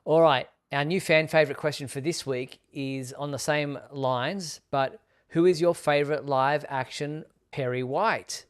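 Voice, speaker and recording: A man's voice: fairly high pitch, steady tone, measured pace, hardly any pauses, clear, resonant tone. A man casually hosting a live show, presenting and reading out viewer messages.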